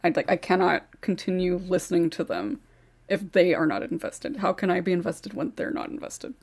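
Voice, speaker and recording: A woman's voice: lowish voice, fairly even intonation, moderate pace, vocal fry, darkish tone. A woman co-hosting a podcast, talking bluntly and emphatically in a casual group chat over a video call. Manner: blunt, emphatic, repeats points. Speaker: podcast co-host.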